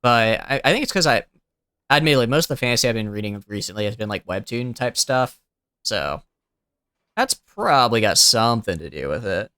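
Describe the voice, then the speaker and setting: Young male streamer, smooth youthful voice. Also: mid-range voice, expressive, brisk, bright, forceful tone, no echo, clean studio sound. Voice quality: youthful-sounding voice. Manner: rambling, chatty, thinking aloud with fillers. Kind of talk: casual gameplay commentary.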